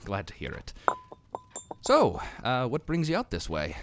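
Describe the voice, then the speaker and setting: A man's voice: mid-range voice, wildly swooping pitch, measured pace, noisy background. A man playing a secretive, dry, affable innkeeper in a fantasy audio drama, with a hesitant, wry manner. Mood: affable, coy. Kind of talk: fantasy podcast acting.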